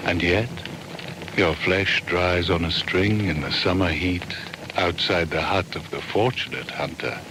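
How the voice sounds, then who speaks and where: An actor playing a solemn shaman hunter, speaking measured ritual verse for a radio drama. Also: sits low. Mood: solemn, wary. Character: solemn male shaman hunter invoking animal spirits. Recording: clear background ambience noise.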